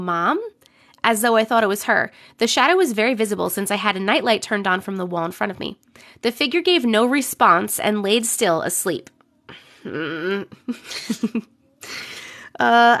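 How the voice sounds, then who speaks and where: A young adult woman live streaming, chatting with viewers and reading stories, her voice a little rough from a cold. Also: a little high, pushed and bright. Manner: chatty, greets viewers by name between sentences. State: voice a bit rough from getting over a cold. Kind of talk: casual live stream chat and story reading.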